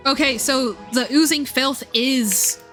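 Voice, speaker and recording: A woman doing casual livestream commentary on a game, thinking aloud in an excitable, exclamatory way with half-finished thoughts. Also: high voice, slow. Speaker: livestream host playing a game and discussing its lore. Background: game music under the voice.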